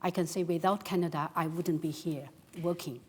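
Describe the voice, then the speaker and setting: A woman's voice: lowish voice, steady tone, normal speed. A middle-aged woman from China, a native Chinese speaker, recounting her own background as a panellist.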